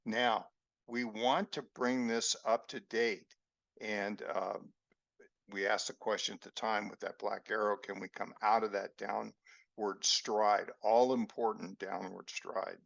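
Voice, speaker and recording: A man talks through stock charts in a slow, measured way, his low voice gravelly and a little hoarse. The sound is dry and dull, like a home desk mic on a screen recording. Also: little pitch movement, a bit bright, no echo. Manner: slow, measured explaining with fillers. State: losing his voice. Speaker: market analyst host. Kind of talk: screen-share chart lesson.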